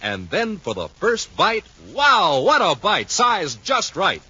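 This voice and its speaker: A man announcing and pitching enthusiastically in showy 1950s radio style, on an old recording. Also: wildly swooping pitch, takes it slowly, sharp tone, breathy edge, highs cut off.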